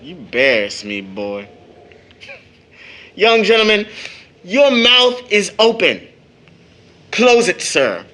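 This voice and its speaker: A man's voice: sits high, sing-song, slow, long pauses, bright, forceful tone, exaggerated voice. A man acting as a proud young uncle in an audio drama, with a theatrical, formal way of talking. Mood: mock indignation. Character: playful, teasing young uncle, mock-pompous, proud, later embittered.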